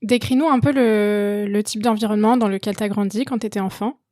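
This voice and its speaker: A woman's voice: mid-range voice, fairly even intonation, brisk, darkish tone, dry recording. A woman hosting a podcast, reading a friendly scripted intro that speaks straight to the listener, then asking interview questions. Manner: friendly, direct, addresses listener informally. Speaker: podcast host.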